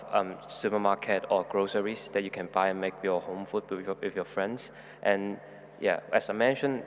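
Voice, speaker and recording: Young man, low even delivery, student panelist, echoing hall. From Hong Kong. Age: young adult. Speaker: overseas university student on a panel. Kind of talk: unscripted advice to new students. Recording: reverberant hall, humming background noise.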